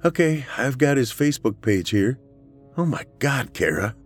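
A man voicing an anxious, excitable adult woman with an expressive, informal manner in an audiobook. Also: medium pitch, moderate pace, faint music behind, voice pushed up. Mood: freaked out.

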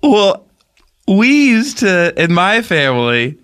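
A man on a comedy podcast, telling a story in a deadpan way with halting restarts. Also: sits high, clear, resonant tone. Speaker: podcast co-host. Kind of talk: improvised comedy podcast banter.

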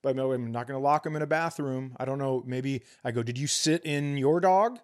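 A man's voice: mid-range voice, a little fast. A man co-hosting a comedy podcast, telling stories casually and bluntly, swearing and acting out the exchanges he quotes. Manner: foul-mouthed, blunt, acts out quoted exchanges.

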